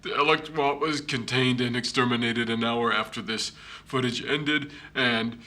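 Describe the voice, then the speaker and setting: A man playing a grieving, troubled investigator in a found-footage horror monologue, halting and emotional. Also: medium pitch, fairly even intonation, bright, forceful tone, close to an everyday voice. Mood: somber. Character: grieving widowed investigator, troubled and increasingly agitated.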